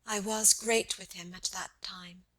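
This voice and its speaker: A woman voicing a grieving young wife who recalls a lost love, in a sad, veiled and halting voice for an audiobook. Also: mid-range voice, steady tone, bright, forceful tone. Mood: veiled sadness.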